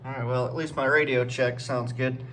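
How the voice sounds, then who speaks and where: A man's voice: mid-range voice, flat delivery, moderate pace, a bit bright. A man giving casual hands-on commentary as a flight simulator guide, rambling and thinking aloud. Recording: clearly noisy room background.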